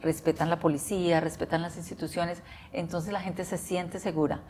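A woman in her forties from Colombia with a Colombian accent, seated indoors and talking to camera with enthusiasm, often pausing with "eh". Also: fairly low pitch, little pitch movement, warm tone, a little hiss or hum. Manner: enthusiastic, frequent hesitations with eh. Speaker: interviewee. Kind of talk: talking to camera about life abroad. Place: bright office room by large windows, indoors.

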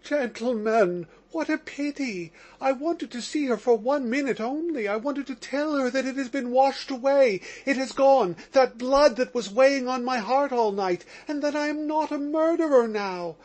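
A man's voice: high voice, sing-song. A man voicing an agitated, slightly drunk young man under suspicion, talking nervously and effusively. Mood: pleading, ecstatic. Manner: nervous, rapid, pleading.

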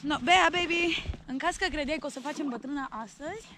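A woman's voice: high-pitched, very noisy. A young woman vlogging in casual, joking chat with a friend on a snowy mountain, teasing, laughing often and swearing. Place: snowy mountain meadow outdoors. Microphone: action camera held at arm's length.

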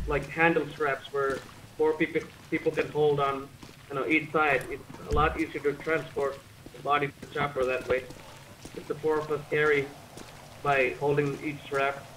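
A man's voice: on the high side, level tone, normal speed, fairly bright tone, poor audio. A man talking casually in character as a player in an online roleplay game. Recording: faint noise behind game voice chat.

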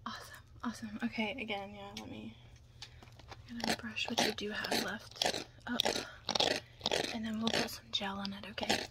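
Soft whispering ASMR woman, noisy recording. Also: medium pitch, flat delivery, moderate pace, long pauses. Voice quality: soft, breathy, often dropping to a whisper. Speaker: ASMR performer playing a makeup stylist. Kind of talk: ASMR roleplay, close personal attention. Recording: close-up with clear background noise.

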